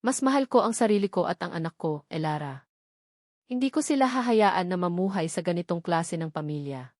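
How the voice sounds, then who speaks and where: A woman's voice: lowish voice, lively intonation, fairly quick, a little mellow, no echo, studio quality. A woman voicing a betrayed, anxious but resolute pregnant wife in an emotional storybook drama. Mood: pained resolve. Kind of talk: dramatic audio storybook dialogue.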